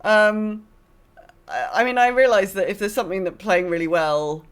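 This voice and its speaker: A woman podcast host in casual discussion. She has a middle-pitched voice and a wry way of talking, dropping sardonic asides as she thinks aloud. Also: animated, normal speed, clean tone. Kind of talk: casual discussion of a video game.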